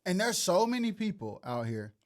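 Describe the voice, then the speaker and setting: A man's voice: sits high, sing-song, normal speed. A man hosting a podcast, asking questions and encouraging his guest. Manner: affirming, encouraging interviewer. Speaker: podcast host. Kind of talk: casual podcast interview.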